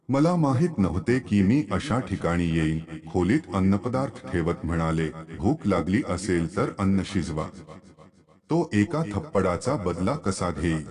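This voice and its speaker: A man's voice: low-pitched, darkish tone. A man narrating a scripted first-person story as a storyteller.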